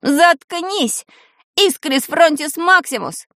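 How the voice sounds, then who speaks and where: A woman voicing a spiteful, excitable teenage boy wizard from a fantasy storybook, yelling shrilly. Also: very high voice, takes it slowly, no echo, clean studio sound, pitched above the performer's own voice, heavily acted. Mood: enraged.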